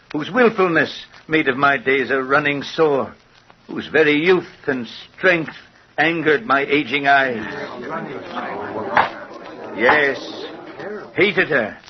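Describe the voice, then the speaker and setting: An actor playing a hateful, aging inventor and stepfather in an old-time radio horror drama, speaking in formal, theatrical, deliberate diction. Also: fairly high pitch, slight background noise. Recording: muffled, husky-sounding old radio recording.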